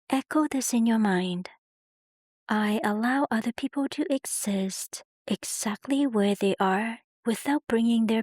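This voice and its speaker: A woman narrating a guided meditation in slow, soothing, unhurried phrases with gentle pauses. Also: medium pitch, no room sound, clean studio sound.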